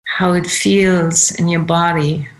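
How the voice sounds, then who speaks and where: Low-pitched, slow woman guiding meditation, creaky voice. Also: steady tone, low-quality recording. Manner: unhurried, pausing between short phrases. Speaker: meditation guide. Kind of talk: guided meditation, unscripted gentle instruction.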